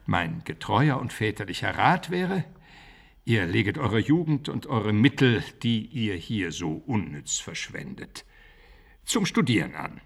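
Gravelly old preachy pastor. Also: male voice, fairly low pitch, sing-song, exaggerated voice. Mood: fatherly admonishing. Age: older. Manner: deliberate, preachy, formal archaic phrasing. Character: elderly learned village pastor, fatherly, admonishing, shrewd. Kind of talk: classic literary radio drama acting.

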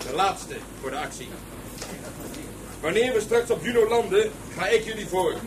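Stern officer played by a man, quite high voice, commanding, off-mic with clear noise. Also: natural voice. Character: stern military officer briefing troops. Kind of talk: radio drama acting. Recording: distant voice with noise.

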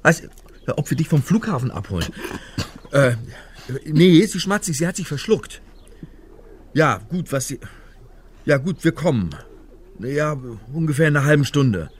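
An actor playing a rattled but dryly wry amateur sleuth in a naturalistic German radio crime drama. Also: male voice, a little high, big pitch swings, a little slow, long pauses, slight background noise. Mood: flustered, placating.

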